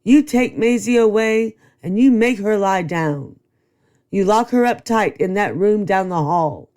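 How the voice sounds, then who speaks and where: A woman's voice: medium pitch, measured pace, darkish tone, natural voice. A woman voicing a fussy, bossy male school principal in a read-aloud storybook, declaiming in rhyme.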